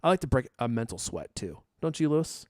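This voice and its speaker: A man's voice: medium pitch, big pitch swings, quick pace, a little mellow, no room sound, clean studio sound. A man hosting a podcast, chatting casually and reading ads in a jokey, teasing way with theatrical emphasis. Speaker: podcast host. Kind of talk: casual chat and ad reads.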